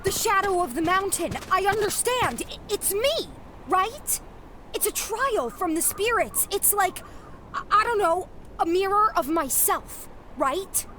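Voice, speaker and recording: A woman's voice: very high-pitched, lively intonation, sharp tone, slight background noise, exaggerated voice. A woman playing a determined, emotional young girl adventurer in a family audio drama, earnest and dramatic. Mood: desperate realization. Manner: earnest, dramatic, halting when upset.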